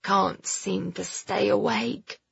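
A woman reader plays a cheeky, rough-spoken lad in a storybook. He is blunt and grumbling but game. The voice is slightly husky, in a muffled recording. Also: mid-range voice, animated, normal speed, no room sound, pitched above the performer's own voice. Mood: groggy. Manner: blunt, muttering.

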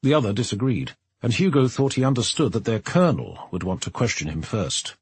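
A man reading scripted third-person narration from a novel as an expressive audiobook narrator. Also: medium pitch, a little fast, slightly rough, no room sound.

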